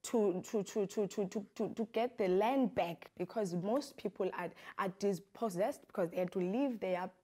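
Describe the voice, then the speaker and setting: A woman's voice: mid-range voice, normal speed, hardly any pauses, warm tone. Earnest South African woman activist. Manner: earnest, many fillers and repeated words. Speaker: human rights activist. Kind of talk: talk show guest interview.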